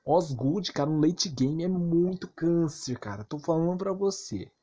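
A man's voice: on the high side, lively intonation, a little slow, dark tone. Brazilian man ranting about a game. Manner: argumentative, insistent, repetitive, slangy. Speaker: gaming YouTuber. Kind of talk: informal game rant commentary.

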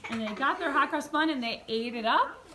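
Woman, high voice, expressive children's storyteller, music teacher, slightly noisy classroom recording. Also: fairly quick. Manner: expressive, addressing young children. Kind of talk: live storytelling to children in a puppet show. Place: medium-sized school music classroom. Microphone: phone camera a few metres away. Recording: noisy classroom phone video.

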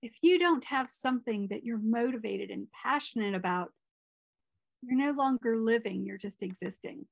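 Middle-aged woman moderator, slightly high, some fry, dry video-call sound. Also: lively intonation, normal speed, no room sound. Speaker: conference moderator. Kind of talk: moderating a conference Q&A.

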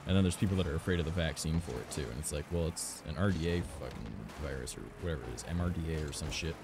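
Raspy low-voiced man chatting while gaming. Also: rapid-fire, noisy background. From Canada. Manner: rambling, frequent "like" and "um". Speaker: streamer. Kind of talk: casual chat while gaming. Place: home recording studio.

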